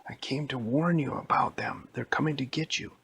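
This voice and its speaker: A man's voice: mid-range voice, moderate pace, vocal fry, a bit bright, a little hiss or hum, voice pushed up. A man voicing an earnest man from the future in a bedtime story, delivering insistent warnings. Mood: urgent.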